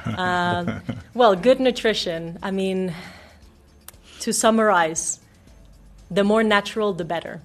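A woman from Denmark and Mexico with American-sounding English, a nutrition expert explaining things clearly as a radio studio guest. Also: mid-range voice, lively intonation, takes it slowly, pauses often, soft background music. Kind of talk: radio interview.

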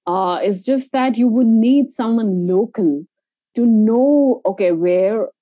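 A woman with an Indian accent giving explanatory, halting conversational answers as an interview guest. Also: on the high side, no room sound, highs cut off. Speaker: interview guest, inclusive travel organiser.

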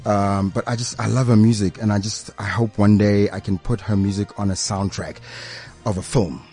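South African male radio host, low voice, playful chat, faint music bed. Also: flat delivery, normal speed, dark tone, husky. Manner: playful, laughing, loose and chatty. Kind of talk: casual studio chat. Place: radio studio.

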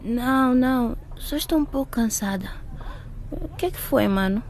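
Casting: weary teenage girl, patient big sister, fairly high voice, radio drama. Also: expressive, normal speed, darkish tone, slight background noise.

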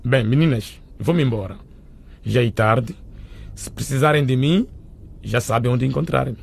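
A matter-of-fact male doctor in a radio play speaks politely with a very gravelly, creaky voice. There is slight background noise. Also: medium pitch, a little slow. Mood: businesslike. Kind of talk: radio drama acting.

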